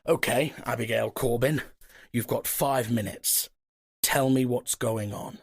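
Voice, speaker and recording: An actor playing a guarded, gruff investigator in an audio drama, with a husky, gravelly voice and a dry, terse, commanding manner. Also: male voice, mid-range voice, a little slow, dry recording. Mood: wary, irritated. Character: guarded, gruff male paranormal investigator James Hunter.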